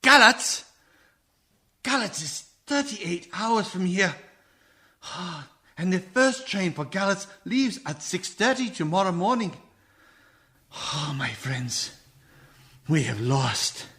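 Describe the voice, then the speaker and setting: Man acting a husky, commanding vampire hunter. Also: high-pitched, big pitch swings, takes it slowly, pauses often, bright, forceful tone, exaggerated voice. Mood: despairing. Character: commanding, anguished older male vampire hunter. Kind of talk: radio play acting.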